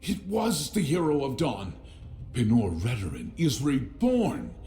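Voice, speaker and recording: An actor playing a frightened, overdramatic guard in a video game, speaking in awestruck tones. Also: male voice, mid-range voice, huge pitch swings, measured pace, mellow. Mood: alarmed, awed. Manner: dramatic, awestruck. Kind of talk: video game character acting. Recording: roomy sound with faint music.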